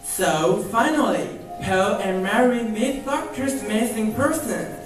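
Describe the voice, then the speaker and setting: A woman's voice: on the high side, slow pace. A woman playing an earnest, eager admirer in an amateur radio drama. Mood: grateful. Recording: very echoey, distant, faint music behind.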